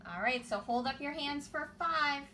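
A woman's voice: high voice, moderate pace, bright, forceful tone. A woman in her thirties presenting storytime to young children with simple, instructive phrasing. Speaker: children's librarian and storytime host. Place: small room at home, indoors. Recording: home video with faint background noise.

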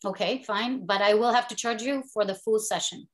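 A woman's voice: medium pitch, moderate pace, lightly acted. An actress playing a firm female therapist over a video call. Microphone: video call webcam.

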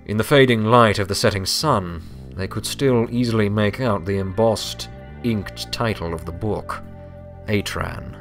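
A man's voice: on the low side, a little slow, vocal fry, soft background music. A man reading scripted story narration as the narrator.

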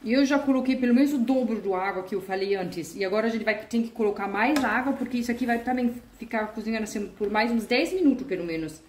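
A woman's voice: a little high, fast, few pauses, a little hiss or hum. Chatty woman in an echoey kitchen. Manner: chatty, homey, uses diminutives and fillers. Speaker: vegan cooking channel host. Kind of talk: casual step-by-step cooking tutorial. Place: home kitchen at a stovetop.

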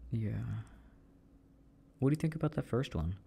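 A young man in a casual boyfriend roleplay, chatting softly while he works at his computer and often trailing off into mutters and low laughs. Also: expressive, normal speed, gravelly, slightly rough. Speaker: audio roleplay performer. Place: at home at a computer.

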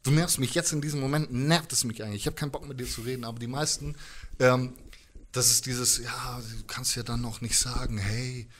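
A man's voice: medium pitch, steady tone, a little fast, fairly bright tone. A man in his thirties chatting casually as a musician in an interview, telling stories with slangy asides into a handheld microphone. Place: living room, indoors.

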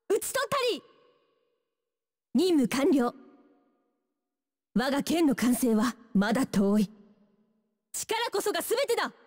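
High-pitched proud young swordswoman. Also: a little mellow, long reverb tail, exaggerated voice. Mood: commanding, triumphant. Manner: crisp, theatrical short declarations. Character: young female sword fighter, proud and polite. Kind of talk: Japanese fighting game battle quotes.

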